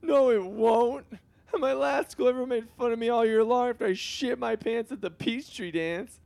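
A man's voice: very high voice, moderate pace, vocal fry, a little mellow, no room sound. A man playing a pampered, bratty male student in a comedy series, petulant and full of bluster. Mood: sulky.

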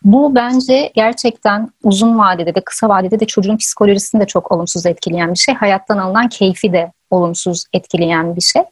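A woman's voice: medium pitch, brisk, hardly any pauses, husky, dry recording. A woman interview guest explaining her views conversationally, in an earnest, reflective way with emphatic repetition. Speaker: interview guest, author and researcher.

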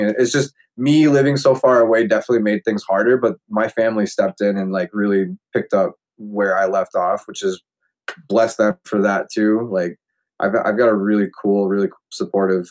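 A man's voice: lowish voice, fairly even intonation, moderate pace, darkish tone. Laid-back man in his late twenties, full of "like". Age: 20s. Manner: rambling, heavy use of "like". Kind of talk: casual chat.

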